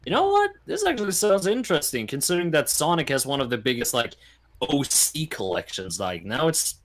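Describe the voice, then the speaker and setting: A man in a casual, opinionated chat. His pitch swings widely as he rambles through explanations in an animated way, slipping into acted-out quotes. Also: brisk. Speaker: podcast guest or panelist. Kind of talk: casual opinionated chat about video games.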